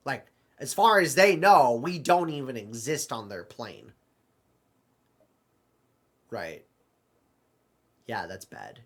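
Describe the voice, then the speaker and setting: A man plays a flustered multiverse traveler in an improvised audio drama. He talks slowly in a mid-pitched voice, stammers, doubles back on words and thinks aloud, apologetic about being lost. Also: fairly bright tone, heavily acted. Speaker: roleplay performer. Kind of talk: loose improvised audio roleplay.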